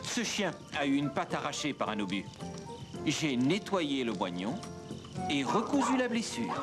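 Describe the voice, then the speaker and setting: An actor playing an earnest, kindly young barber-surgeon in a cartoon, explaining things sincerely. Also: male voice, on the high side, big pitch swings, unhurried. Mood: earnest, persuasive. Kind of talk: animated cartoon dialogue, French dub. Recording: music behind the voice.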